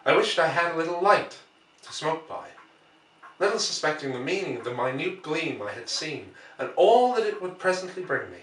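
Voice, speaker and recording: A man reading literary fiction aloud as an audiobook narrator, measured and expressive, with deliberate dramatic pauses. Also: a little high. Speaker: audiobook reader. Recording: echoey room, distant microphone.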